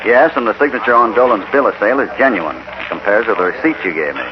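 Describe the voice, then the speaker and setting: An American man acting a calm, shrewd, authoritative cowboy hero in a 1950s radio western. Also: lowish voice, fast, natural voice. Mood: calm, probing. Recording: muffled, noisy old radio broadcast.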